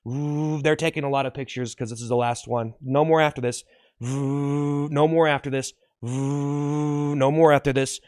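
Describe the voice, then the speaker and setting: A man's voice: on the high side, steady tone, normal speed, a little mellow, no echo, clean studio sound, natural voice. A man acting out a wistful farewell ride on the last gasoline in a comedy skit, sentimental and repetitive.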